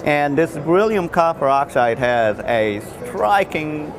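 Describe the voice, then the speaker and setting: A man who is not a native English speaker gives an unscripted technical product demonstration as a designer, in a halting, repetitive way with frequent false starts. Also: on the high side, lively intonation, crowd noise. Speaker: loudspeaker designer presenting his product. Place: trade show exhibition space. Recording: noisy trade show floor recording.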